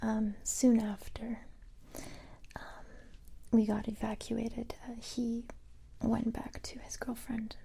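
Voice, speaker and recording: A woman speaks in a breathy, very dark and mellow whisper for an ASMR roleplay. Her voice sits fairly high yet stays even, as she plays a tough but gentle recruiter. The delivery is halting and reassuring. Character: capable, tough yet gentle young female special-forces recruiter.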